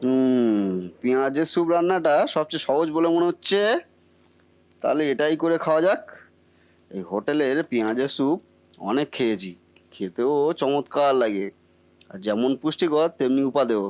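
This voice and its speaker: A man's voice: mid-range voice, normal speed, pauses often, darkish tone, no room sound, natural voice. A man voicing a bumbling, boastful cartoon husband who cannot cook, muttering theatrically to himself. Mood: pondering. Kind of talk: comic Bengali cartoon acting.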